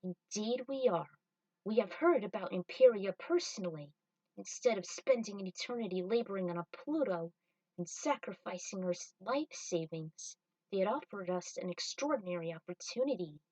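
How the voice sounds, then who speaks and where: A woman's voice: mid-range voice, animated, normal speed, warm tone, no echo, recorded from a distance, lightly acted, low-quality recording. A woman voicing a smug, sneering female bounty hunter turned traitor in a story, cool and taunting. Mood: sneering, proud.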